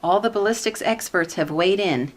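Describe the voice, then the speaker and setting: A woman playing a skeptical, wry commission staffer in a sitcom-style radio play, speaking in a measured way. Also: medium pitch, natural voice.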